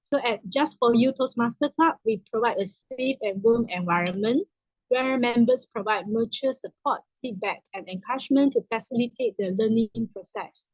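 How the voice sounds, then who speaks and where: A woman's voice: fairly high pitch, normal speed, a little mellow, no echo. A woman hosting a club meeting, explaining its program in a formal, explanatory way. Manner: formal, explanatory, some restarts. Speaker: meeting host, toastmaster of the evening. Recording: dull lo-fi dry sound.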